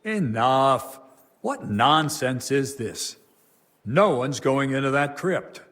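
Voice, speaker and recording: An actor playing a stern, burdened abbot of a medieval monastery, grave and formal, in a dramatic game cutscene. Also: male voice, mid-range voice, lively intonation, takes it slowly, fairly bright tone. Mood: angry, commanding.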